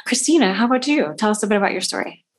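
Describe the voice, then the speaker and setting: A woman's voice: mid-range voice, expressive, rapid-fire. A woman hosting a podcast interview, affirming and encouraging toward her guests. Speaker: podcast host.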